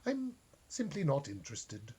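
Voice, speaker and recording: A man voicing a polite, practical and quietly stubborn elderly English widow in a storybook reading, mild and matter-of-fact. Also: a little high, wildly swooping pitch, a little slow, husky, full character voice. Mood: politely firm. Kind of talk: storybook character dialogue.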